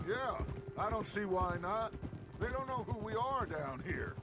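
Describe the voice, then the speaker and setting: An actor playing a confident, genial middle-aged gang leader in a western game, theatrical and teasing. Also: male voice, sits high, expressive, unhurried. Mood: sly. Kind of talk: cinematic western game acting. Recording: clear outdoor ambience noise behind.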